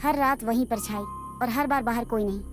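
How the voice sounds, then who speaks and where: A woman's voice: on the high side, normal speed, faint music behind, close to an everyday voice. A woman voicing a curious, brave ten-year-old boy with an earnest manner in a cartoon story. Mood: doubtful.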